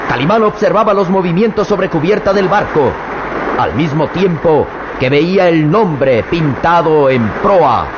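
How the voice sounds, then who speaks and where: A man with a Mexican accent tells the story of a radio serial in a measured, dramatic way, his voice slightly high. The old recording sounds muffled with steady noise behind him. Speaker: narrator. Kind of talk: scripted radio drama narration.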